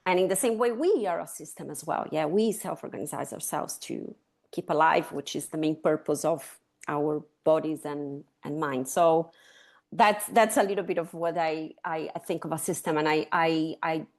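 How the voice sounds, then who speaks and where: A woman's voice: low-pitched, moderate pace. A woman in her fifties from Chile with a Chilean Spanish accent, explaining ideas step by step as an interview guest. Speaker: guest, sustainability consultant. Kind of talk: conversational interview.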